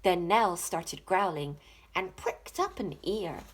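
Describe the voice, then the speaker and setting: A woman teacher reading a rhyming children's picture book in a dramatic, deliberate, expressive way. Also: lowish voice.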